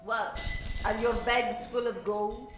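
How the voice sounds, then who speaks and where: A woman's voice: a little high, little pitch movement, slow pace, over music. An actress playing a melodramatic, bossy Frenchwoman with a French accent and broken English in a comic old-time radio play. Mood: concerned, curious. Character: melodramatic French woman, bossy and dramatic club acquaintance. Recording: roomy, echoey stage recording.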